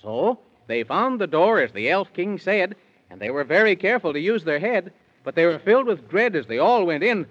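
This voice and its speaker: A man's voice: sits high, sing-song, moderate pace. A man narrating a 1930s children's radio serial in sing-song rhyming verse. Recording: dull 1930s radio recording.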